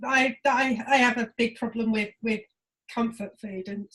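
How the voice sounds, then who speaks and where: A woman's voice: a little high, steady tone, normal speed, a bit bright. A woman chatting informally in short interjections as a participant on a group conference call. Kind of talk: casual chat.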